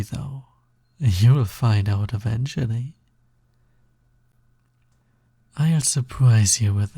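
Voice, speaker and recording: Slow, husky, gravelly snake demon played by a man. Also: medium pitch, mellow, no echo. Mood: teasing menace. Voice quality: breathy, gravelly. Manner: slow, teasing, drawling, often dropping to a whisper. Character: sly young male naga, snake demon roommate, smug and possessive. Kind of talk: intimate scripted audio roleplay, close ASMR-like delivery.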